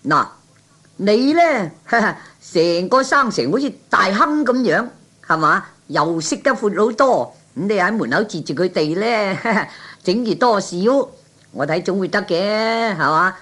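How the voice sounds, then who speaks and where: A man voicing an excitable, nosy hanger-on with a Cantonese accent in a 1960s comic radio play, chatty and full of exclamations. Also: high-pitched, a little slow, full character voice. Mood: pestering.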